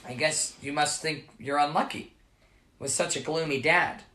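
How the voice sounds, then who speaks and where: A storyteller voicing a gentle, worried father who reassures his son. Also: medium pitch, normal speed, echoey room, natural voice. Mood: tender.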